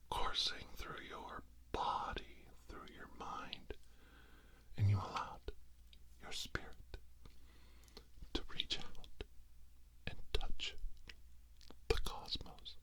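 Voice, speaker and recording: A man giving a guided ASMR healing session in a breathy, mostly unvoiced whisper, soothing and hushed, in short phrases with long pauses. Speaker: ASMR reiki healer.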